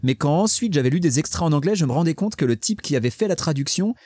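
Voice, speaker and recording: A man's voice: fairly high pitch, animated, quick pace, warm tone, breathy edge, no room sound, clean studio sound. A man hosting a podcast in casual chat about a book, sarcastic and mocking, swearing freely as he talks. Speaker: podcast host.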